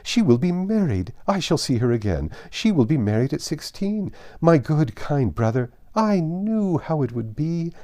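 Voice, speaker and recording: A man voicing an excitable, silly middle-aged mother in a storybook reading, gushing and fluttery with delight. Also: on the high side, unhurried, hardly any pauses, pitched above the performer's own voice. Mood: overjoyed, fluttering. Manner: fluttery, exclamatory, breathless rush of delight.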